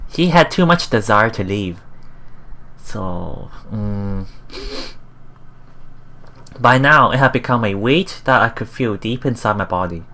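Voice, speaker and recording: A let's play host reading a visual novel aloud in a halting way, with casual, musing commentary. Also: medium pitch, fairly bright tone. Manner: halting, musing, repeats phrases.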